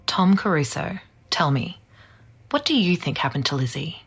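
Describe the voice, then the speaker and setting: An investigative journalist hosting a true-crime podcast. Her voice sits fairly low as she narrates and questions in a scripted drama, with clear music behind her. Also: big pitch swings, normal speed. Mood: probing.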